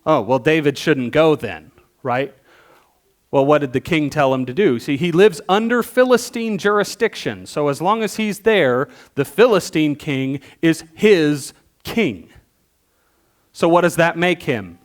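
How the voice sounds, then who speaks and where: A man giving a sermon as worship leader, teaching in a conversational way, asking questions and swinging between emphatic bursts and quiet asides. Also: a little high, lively intonation, measured pace.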